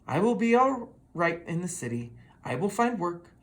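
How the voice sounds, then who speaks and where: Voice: mid-range voice, sing-song, normal speed. A storybook reader voicing an earnest, hopeful male horse who is eager and plain-spoken.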